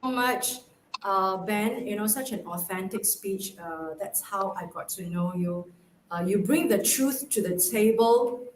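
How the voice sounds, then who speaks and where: A woman's voice: mid-range voice, unhurried. Woman, host, appreciative, echoey room, faint noise. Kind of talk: host wrap-up on video call.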